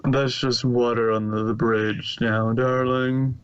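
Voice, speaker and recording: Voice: medium pitch, level tone, takes it slowly, a little hiss or hum, lightly acted. A performer voicing a refined, genteel, gracious female pony with a dainty, reassuring manner in a fan story reading. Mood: gracious, soothing. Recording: dull lo-fi home recording.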